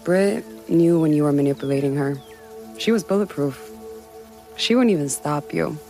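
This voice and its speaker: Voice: mid-range voice, moderate pace, mellow, lightly acted. An actor playing an earnest, grieving friend in an audio drama, speaking plainly and from the heart over music. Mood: tender, wistful. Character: earnest, grieving friend remembering a beloved girl. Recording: music under the voice.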